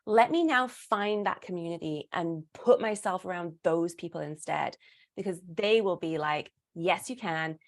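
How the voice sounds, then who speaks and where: A woman with a British accent talks in an animated, conversational way as a guest. She makes her points emphatically and often slips into little imagined exchanges to illustrate them. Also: fairly low pitch, measured pace. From Britain. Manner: emphatic, acts out imagined dialogue. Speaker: guest, performer and burlesque teacher. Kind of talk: animated conversational interview talk.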